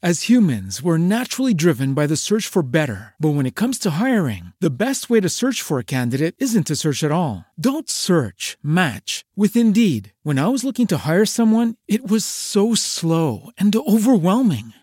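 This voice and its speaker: A man's voice: high-pitched, sing-song, normal speed, few pauses, darkish tone, breathy edge, no echo, studio quality. A man delivering a scripted podcast advertisement as its announcer.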